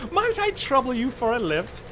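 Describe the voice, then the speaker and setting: A man's voice: very high voice, huge pitch swings, normal speed, background noise, heavily acted. A man playing a pompous, fussy, blithely callous mad doctor in an audio drama, prim, theatrical and flippant. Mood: breezily casual.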